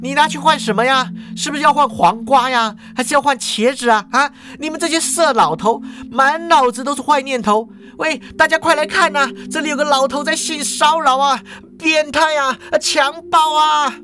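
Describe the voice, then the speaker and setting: Narrator putting on a shrill, nagging female cashier ghost. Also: moderate pace, few pauses, soft background music. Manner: nagging, scornful, loud complaining. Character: sharp-tongued, irritable female ghost cashier, quick to accuse.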